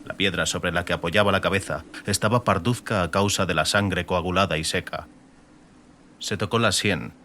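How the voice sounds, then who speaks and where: A man's voice: low voice, fairly bright tone. A man narrating a fantasy novel as a scripted, dramatic audiobook.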